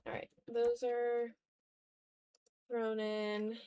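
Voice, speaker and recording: A tired woman chatting casually and rambling in a low-key way as she hosts a live art stream and draws. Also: completely flat delivery, very slow, warm tone, smooth. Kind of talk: casual livestream chat while drawing.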